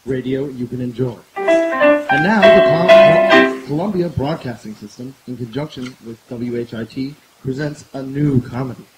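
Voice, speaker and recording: An actor playing a formal, measured station announcer in old-time radio style. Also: male voice, medium pitch, loud music behind the voice, close to an everyday voice, rough recording. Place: outdoor stage in the woods.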